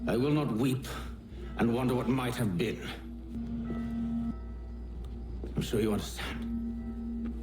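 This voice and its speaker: An actor playing a proud, cold older Templar father in a video game, speaking in a measured, eloquent, condescending way. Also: on the low side, natural voice. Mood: bitter, resigned. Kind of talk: dramatic video game acting.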